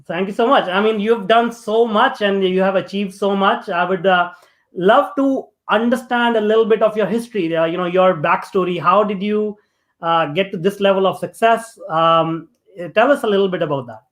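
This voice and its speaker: A man's voice: high voice, moderate pace, a bit bright. A man hosting an interview show, reading a formal guest introduction and then asking casual questions. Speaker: podcast host.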